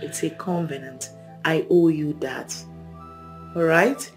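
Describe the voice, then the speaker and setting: An actress playing a young bride-to-be who speaks earnestly and tenderly to a devoted friend, sometimes in a whisper. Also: female voice, sits low, slow, soft background music. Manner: earnest, solemn, partly whispered.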